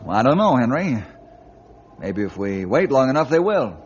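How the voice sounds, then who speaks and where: An American actor playing a calm, confident adventure leader in a 1950s radio serial, folksy, brisk and reassuring. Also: male voice, mid-range voice, close to an everyday voice. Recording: muffled old radio recording, noisy background.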